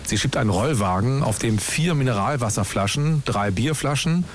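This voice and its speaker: A man's voice: mid-range voice, animated, moderate pace, slight background noise. A man hosting a documentary podcast, telling the story in scripted narration and laying out questions one by one.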